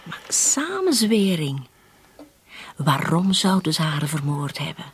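A woman playing a commissioner's inquisitive, persistent wife in a radio drama, with a husky, breathy voice. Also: deep voice, very animated, slow pace, exaggerated voice. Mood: curious, insistent. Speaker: actor in a radio play. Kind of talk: Dutch-language radio drama dialogue.

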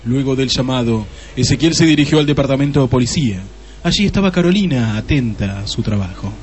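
A man's voice: mid-range voice, animated, fairly quick, a little mellow, soft background music. A man reading scripted narration for a radio play.

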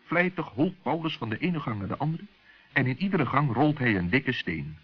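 Male children's narrator: husky, lively intonation, muffled vintage radio recording. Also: mid-range voice, moderate pace. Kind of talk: expressive children's story narration.

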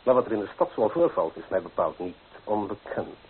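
Low-voiced, proud small-town architect. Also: male voice, big pitch swings, brisk, husky, exaggerated voice. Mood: defiant. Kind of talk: Dutch radio drama acting. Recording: tinny, hissy old radio play recording.

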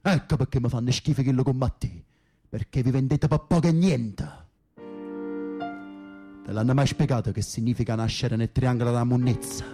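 An actor as a dark-voiced, volatile Neapolitan gangster. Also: male voice, mid-range voice, fairly even intonation, normal speed, faint music behind, natural voice. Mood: bitter. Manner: gruff, confrontational, swearing. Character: coarse, volatile young Neapolitan Camorra foot soldier in prison. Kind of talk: radio drama acting.

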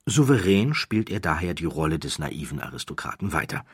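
Deep husky guy narrating an audiobook, dramatic storyteller style, really emphatic phrasing. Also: sing-song, a little fast, no room sound, clean studio sound. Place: dry studio.

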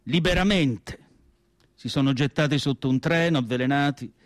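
An actor playing an earnest, melancholy asylum doctor in a theatrical radio monologue, speaking in deliberate, emphatic phrases with weighty pauses. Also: male voice, medium pitch, no echo, clean studio sound, close to an everyday voice. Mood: grave, anguished. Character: earnest, melancholy male asylum doctor recalling his life's work.

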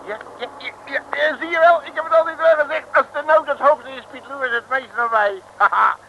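A blustering, bungling detective in an old comic radio serial. His voice is high for a man and piercingly forceful. He boasts and muddles his words with great confidence, over the faint hiss of a vintage recording. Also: brisk, gravelly, heavily acted, poor audio. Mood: blustering, bossy. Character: cocky, bumbling, blustering male working-class Dutch detective. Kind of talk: 1950s-60s comic radio serial acting.

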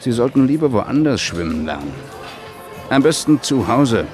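An actor playing an officious, menacing supervisor in a radio play, blunt and condescending. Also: male voice, medium pitch, big pitch swings, normal speed, lightly acted. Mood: veiled threat. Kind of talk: German radio play acting. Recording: music and faint crowd behind, outdoors.

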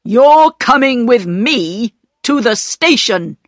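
A woman voicing a stern, accusing male railway station master in a storybook, speaking deliberately. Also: fairly high pitch, expressive, no echo, clean studio sound, pitched above the performer's own voice. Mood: angry. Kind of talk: storybook character voice.

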